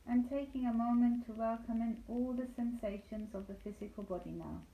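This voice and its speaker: A woman leading a guided meditation as a yoga nidra instructor, speaking calmly and soothingly with deliberate pauses. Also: fairly high pitch, gravelly. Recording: roomy echo, light background noise.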